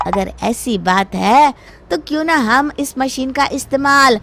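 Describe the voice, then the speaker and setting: A woman's voice: sits high, lively intonation, unhurried, gravelly, over music, exaggerated voice. A woman voicing a greedy, brash young man who wheedles, in a moral horror story. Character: greedy, cocky young man, easily scared sidekick. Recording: noisy, clicks in background.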